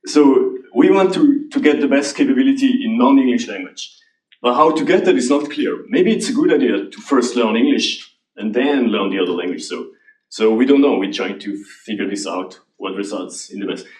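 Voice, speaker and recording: A man from Switzerland, answering audience questions about AI in a halting, restarting way. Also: medium pitch, darkish tone. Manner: halting, restarts often, laughs lightly. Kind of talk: conference Q&A. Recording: roomy hall, voice from a distance.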